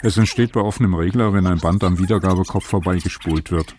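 A man narrating a radio documentary in measured, clipped fragmentary phrases. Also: deep voice, a little mellow, slight background noise. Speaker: radio feature author and narrator. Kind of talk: scripted documentary narration with location conversation.

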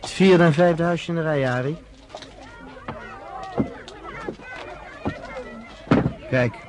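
A man's voice: a little high, a little fast, close to an everyday voice. A man playing David, a fervent, stubborn underground fighter guiding a visitor through a camp, in a radio play. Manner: fervent, stubborn about his people's survival. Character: earnest male underground fighter David, middle-aged. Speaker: resistance leader guiding a visitor. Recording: noisy radio play recording.

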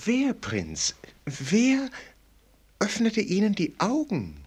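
A worldly, playful gentleman confidant in a radio play. His voice is husky and swings widely in pitch as he muses theatrically, lingering over rhetorical pauses. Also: wildly swooping pitch, exaggerated voice. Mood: gently probing. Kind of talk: German radio play acting.

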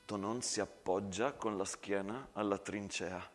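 A man giving a dramatic scripted narration in a hushed, measured and suspenseful manner, as an actor telling a story. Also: fairly low pitch, fairly even intonation.

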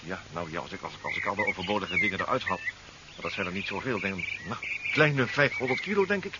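An actor playing a cautious submarine pilot, hesitant and dryly skeptical, in a 1970s radio drama. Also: male voice, deep voice, animated, fast talker. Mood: doubtful. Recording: muffled, noisy 1970s radio drama recording.

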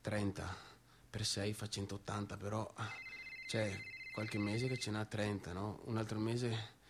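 An actor playing an obsessive, aggrieved young factory boss in a theatrical monologue, with a northeastern Italian accent and halting, repetitive, colloquial speech. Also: male voice, on the low side, level tone, noisy background. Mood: subdued, brooding. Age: young adult.

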